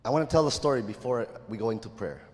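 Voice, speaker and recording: A man's voice: mid-range voice, expressive. Sick male preacher in a reverberant hall. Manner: halting, repeats words, measured pauses for the interpreter. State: sick, voice lower than usual. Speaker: guest preacher. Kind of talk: sermon with live interpretation.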